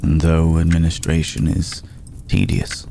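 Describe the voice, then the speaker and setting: A man playing an ancient, aristocratic male vampire in an ASMR roleplay, formal, courteous and brooding, with a soft, gravelly voice and deliberate pauses. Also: rumbling low, slight background noise, exaggerated voice. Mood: courteous, welcoming. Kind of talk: ASMR roleplay, slow intimate acting.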